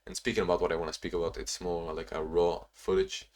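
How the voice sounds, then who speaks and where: A young man talking in a casual, reflective diary-style vlog, halting with frequent restarts and sounding a bit sleepy. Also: sits low, fairly even intonation, a little mellow. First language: not English; Portuguese not first language either. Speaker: vlogger.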